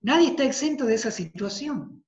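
A man in his thirties with a Tucumán Argentine accent, a priest giving an emphatic devotional talk over a video call, repeating phrases for weight and clearing a tired throat. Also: very high-pitched, animated, brisk. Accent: Tucumán Argentine Spanish. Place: study with bookshelves, indoors. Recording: roomy, dull video-call audio.

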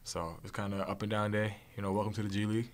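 Fast-talking young man, flat and low. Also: a little hiss or hum. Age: young adult. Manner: casual, rapid, repetitive phrasing. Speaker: basketball player. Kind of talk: postgame press conference answers. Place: press room with logo backdrop. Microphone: desk mic on stand.

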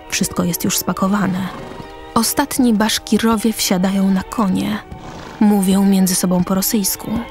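A woman's voice: medium pitch, a little mellow. Woman narrating a radio drama. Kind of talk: scripted radio drama narration, reading stage directions.

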